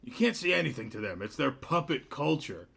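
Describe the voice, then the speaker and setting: A self-important mayor in a comedy script. The voice is pitched high and sharp, at odds with a politician's stature. He sounds mocking and condescending. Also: sing-song, brisk, gravelly, breathy edge, heavily acted. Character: pompous, mocking human mayor with incongruous high voice. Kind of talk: comedy script table read.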